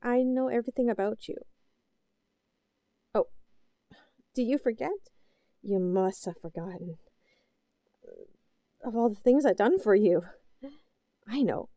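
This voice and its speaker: A woman playing an obsessive, possessive, lovesick captor in an audio roleplay, speaking haltingly and pleadingly with an unhinged edge. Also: on the high side, no echo, clean studio sound. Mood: obsessive, insistent. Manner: halting, pleading, swinging between soft cooing and outbursts. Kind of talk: scripted audio roleplay, intimate and melodramatic.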